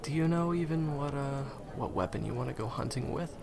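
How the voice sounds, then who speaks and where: A man playing a friendly, reassuring guide and former hunter in a fantasy game audio roleplay, talking conversationally to the listener with hesitant pauses. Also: medium pitch, vocal fry, background noise, natural voice. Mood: concerned, reassuring.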